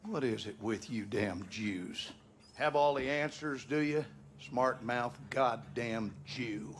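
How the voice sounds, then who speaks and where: A man's voice: mid-range voice, takes it slowly, slight background noise, lightly acted. An actor playing an abusive, bitter Southern husband and father, coarse and menacing, in a dramatic video game, with a Southern US accent. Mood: furious contempt. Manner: menacing, coarse, folksy cursing.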